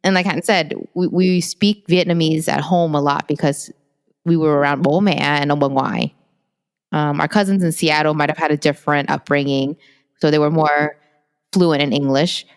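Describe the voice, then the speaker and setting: A young adult woman co-hosting a podcast, reflecting on family memories in an unhurried, casual way. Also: low-pitched, fairly even intonation, clean tone, in a large hall. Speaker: podcast co-host. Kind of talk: casual chat.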